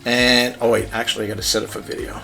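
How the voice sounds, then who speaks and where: A man vlogging a casual tech demo at his home desk, chatty and rambling, in a low-key, fairly monotone voice. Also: fast, vocal fry, faint music behind. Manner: chatty, rambling, demonstrating gadgets. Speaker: YouTube vlogger.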